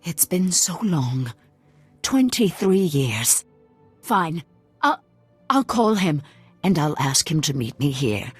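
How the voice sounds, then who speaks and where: Game drama role: wary, pleading middle-aged woman, stubborn and lovelorn, husky, slightly low. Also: very animated, slow pace, pauses often, no room sound, soft background music, heavily acted. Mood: wary, hesitant. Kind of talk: dramatic video game cutscene acting.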